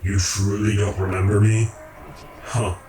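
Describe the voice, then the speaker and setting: A man in a radio drama playing a calm, commanding creator of realities who speaks in measured, formal, cryptic phrases. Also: on the low side, slight background noise, lightly acted. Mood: calm, authoritative. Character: calm, commanding cosmic creator, male, cryptic philosophical overseer. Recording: roomy echo, distant, music underneath.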